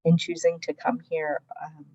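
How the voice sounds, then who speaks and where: A woman with a low voice reflects on her work in a thoughtful, halting way, often restarting her words. The sound is dull and muffled, like lo-fi video call audio. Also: flat delivery, mellow. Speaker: webinar panelist. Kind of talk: professional reflection on a panel.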